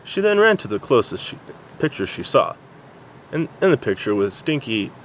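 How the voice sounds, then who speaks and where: A man reads a story aloud in a medium-pitched, very animated voice. He is an amateur who stumbles on the odd word, recorded with faint background noise. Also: moderate pace, rough recording. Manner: stumbles over words while reading. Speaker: amateur fan fiction reader. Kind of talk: story narration, reading aloud.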